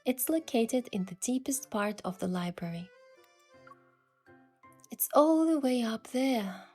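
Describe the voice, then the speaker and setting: A woman voicing a curious young adventurer heroine, telling the story in the first person with earnest, expressive delivery. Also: fairly high pitch, takes it slowly, breathy edge, full character voice. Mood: narrating, gentle. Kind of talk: scripted first-person narration and dialogue. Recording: music behind the voice.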